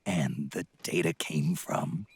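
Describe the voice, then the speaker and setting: An actor playing a gruff, weary, sardonic older soldier in a video game, with an American accent and a gravelly rasp, terse and suspicious. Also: male voice, extremely low pitch, slow, exaggerated voice. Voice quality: gravelly, raspy. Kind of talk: video game cutscene acting.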